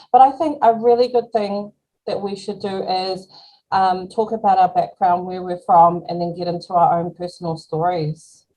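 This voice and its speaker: Woman co-host, warm mellow voice, supportive and conversational. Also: fairly low pitch, low-quality recording. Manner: supportive, frequent "you know". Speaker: podcast co-host. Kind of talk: casual chat.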